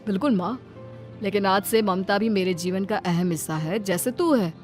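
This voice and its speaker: A woman voicing a dutiful, earnest son of thirty in an animated moral story. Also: medium pitch, moderate pace, natural voice. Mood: reassuring. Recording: music behind the voice. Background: background music.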